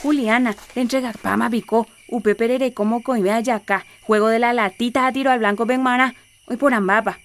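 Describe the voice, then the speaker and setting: Actress as higher-voiced Paraguayan village helper. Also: female voice, steady tone, moderate pace, close to an everyday voice. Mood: busy, helpful. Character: Mechi, village woman helping organize festival games. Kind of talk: radio soap opera acting in Jopara.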